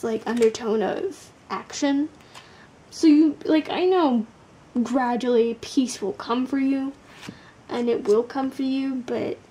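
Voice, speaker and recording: A woman doing a casual, blunt tarot card reading, tired, with a gravelly, sleepy voice, swearing casually and using lots of fillers. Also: on the high side, mellow, slight background noise, low-quality recording.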